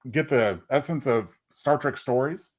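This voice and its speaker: A man's voice: mid-range voice, unhurried. A man co-hosting a podcast, talking in a casual, analytical way. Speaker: podcast co-host.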